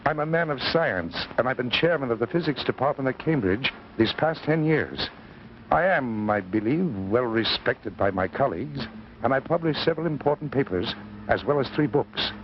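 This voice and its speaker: An actor playing a proud, troubled physics professor giving formal, grave narration in a 1950s drama, on an old broadcast recording. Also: male voice, medium pitch, expressive, moderate pace, lo-fi sound, noisy background, close to an everyday voice. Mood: proud, defensive.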